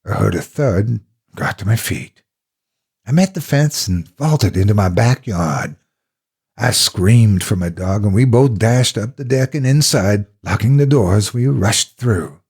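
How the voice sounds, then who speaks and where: A man with a husky, gravelly voice narrating a horror story in the first person, dramatically and with deliberate expression. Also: lowish voice, darkish tone.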